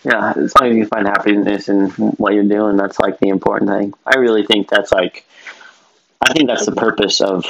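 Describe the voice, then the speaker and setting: Young dude on a podcast, kinda low voice, chill and slangy, muffled lo-fi sound. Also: male voice, steady tone, moderate pace, creaky, a bit bright. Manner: laid-back, slangy, says "dude" and "bro". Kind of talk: casual chat. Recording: dull lo-fi sound.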